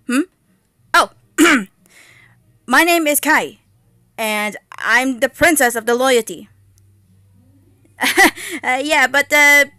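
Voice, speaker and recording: A woman's voice: high voice, sharp tone, no room sound, clean studio sound, heavily acted. A woman voicing a feisty young warrior fairy princess, wary and bold, in dramatic, sassy amateur cartoon lines. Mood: suspicious, demanding, then awkward, guarded. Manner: dramatic, sassy, hesitant stammers.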